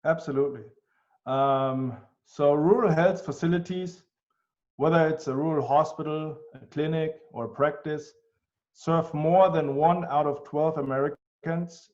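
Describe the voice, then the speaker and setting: A man with a German accent answers interview questions, earnest and measured, pausing on ums. His voice sits a little high for a man. The recording sounds muffled and lo-fi. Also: a little mellow. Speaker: guest, healthcare tech executive. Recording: dull, lo-fi sound.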